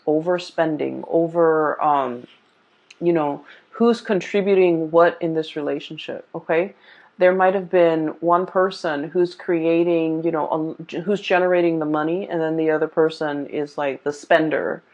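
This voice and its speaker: A woman giving a casual off-the-cuff tarot reading, explaining things in a halting, hesitant way. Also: low-pitched, a little mellow. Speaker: tarot reader. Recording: dull, muffled lo-fi sound.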